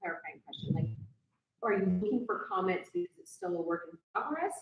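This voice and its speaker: A woman's voice: on the high side, very animated, fairly quick, vocal fry, dull audio, a little hiss or hum. A woman speaking casually as a participant giving feedback at a live event. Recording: echoey room.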